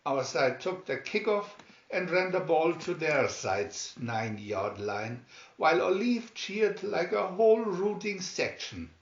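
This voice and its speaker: Male storybook reader: slow, highly animated delivery, muffled room sound. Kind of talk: animated scripted children's story narration. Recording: dull, roomy home recording.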